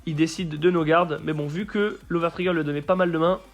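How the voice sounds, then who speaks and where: A man with a hoarse voice from a cold, commentating a card game match he played and explaining each play step by step. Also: fairly high pitch, fairly even intonation, fast talker, soft background music. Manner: explains plays step by step with frequent fillers.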